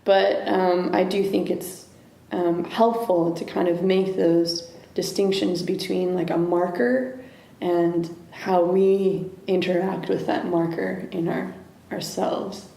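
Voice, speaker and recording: A woman speaks as an interview guest, pausing and restarting as she searches for the right words. Her voice sits low and mellow, with a gravelly, fried edge. The recording carries a noticeable echo from the room. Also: fairly even intonation. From United States. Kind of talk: reflective interview conversation. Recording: echoey room.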